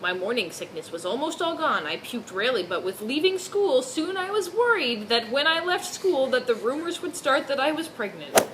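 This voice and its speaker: A woman's voice: high-pitched, sing-song, normal speed, hardly any pauses, noisy background. A woman doing a mock-serious dramatic reading of fan fiction, dropping in amused asides.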